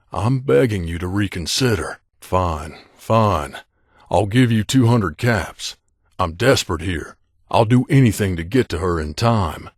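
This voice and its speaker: A low, closely recorded male voice , acted by a man. He is an earnest wasteland drifter from a video game, guarded but loyal. His delivery is conversational and sincere, with very little room sound. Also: no room sound, studio quality. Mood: desperate, pleading. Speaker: voice actor. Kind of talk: video game companion dialogue.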